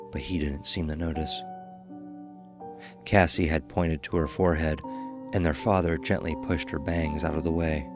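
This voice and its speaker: A man narrating a scripted horror story as a dramatic, measured storyteller. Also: low voice.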